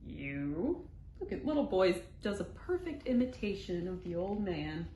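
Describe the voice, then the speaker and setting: A woman's voice: mid-range voice, big pitch swings, normal speed, dark tone. A woman hosting children's storytime, reading a picture book expressively in simple phrases aimed at small children. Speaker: children's library storytime host. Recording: echoey room, background noise.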